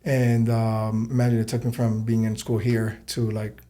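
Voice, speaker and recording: A man's voice: lowish voice, completely flat delivery, moderate pace, warm tone. A man in his thirties hosting a podcast interview, chatty and casual, who swears freely. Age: 30s. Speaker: podcast host.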